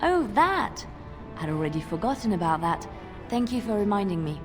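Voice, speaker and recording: An actress playing a gentle, devout young religious sister in a fantasy game, sweet and earnest. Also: female voice, mid-range voice, sing-song, moderate pace, close to an everyday voice. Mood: playful. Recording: game music behind voice.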